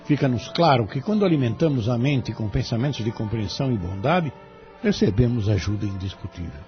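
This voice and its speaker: A man's voice: medium pitch, expressive, a little fast, lightly acted. A man voices a fatherly Brazilian guardian spirit, solemn and consoling. His delivery is oratorical, rising and falling widely, over faint music in a dull recording. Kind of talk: audio drama monologue. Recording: dull lo-fi audio with music behind.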